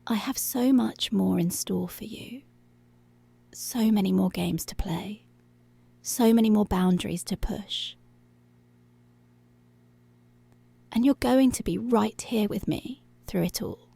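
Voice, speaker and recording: A woman playing a dominant, seductive policewoman in an intimate ASMR roleplay, giving slow, deliberate, breathy commands that often drop to a whisper. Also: medium pitch, dark tone, no echo, heavily acted. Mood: teasing, seductive. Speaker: ASMR voice actor.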